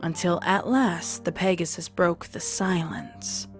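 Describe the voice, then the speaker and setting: A woman's voice: fairly low pitch, slow pace. A woman narrator reading a scripted story. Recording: music under the voice.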